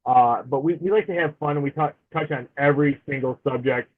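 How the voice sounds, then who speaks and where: A man's voice: medium pitch, a little fast. A man in his forties, a podcast guest, talking in a chatty, friendly way and laughing easily over a remote call. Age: 40s. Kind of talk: casual interview chat. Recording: tinny phone-like remote line.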